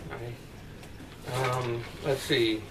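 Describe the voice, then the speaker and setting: Rambling middle-aged dad, slightly distant mic. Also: male voice, mid-range voice, flat delivery, very slow, fairly bright tone. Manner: rambling, blunt, profane asides. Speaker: father answering viewer comments. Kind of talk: casual comment-response chat. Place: bedroom at night, indoors. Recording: distant mic with faint room noise.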